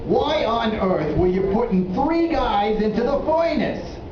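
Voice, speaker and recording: A man's voice: very high voice, animated, unhurried. A man voicing a blunt, impatient, wisecracking working-class repairman in a children's puppet show. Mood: skeptical, offhand. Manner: blunt, informal, slangy, impatient. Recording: echoey, muffled, noisy room, far from mic.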